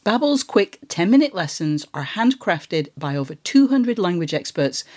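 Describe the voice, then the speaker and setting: A woman's voice: on the low side, sing-song, normal speed, darkish tone. A woman speaking as a podcast host, in a conversational interviewing style.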